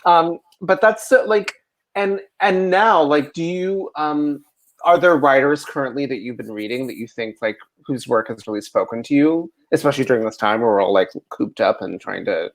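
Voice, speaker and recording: A man's voice: mid-range voice, moderate pace, low-quality recording. American man asking rambling interview questions. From rural Ohio, United States. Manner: rambling, hedging questions full of "like" and "you know". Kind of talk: casual interview chat.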